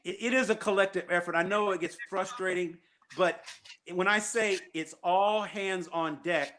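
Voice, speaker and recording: Bright, high-voiced emphatic male host. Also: fairly even intonation, normal speed, a little hiss or hum. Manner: emphatic, repeats key points. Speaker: call-in show host. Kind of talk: casual call-in chat.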